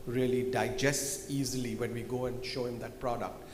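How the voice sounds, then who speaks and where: A man on a conference panel, pitching his company's results in a confident, salesy way. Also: steady tone, normal speed. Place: large event hall. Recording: echoey hall with loud background noise.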